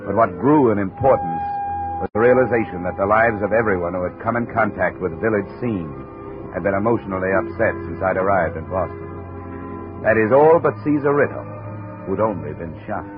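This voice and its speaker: An actor playing a tough, wry insurance investigator in a 1950s radio detective show, with dry, clipped speech. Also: male voice, lowish voice, normal speed, close to an everyday voice. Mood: wry, reflective. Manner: dry, clipped, hard-boiled. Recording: muffled old radio recording, music behind.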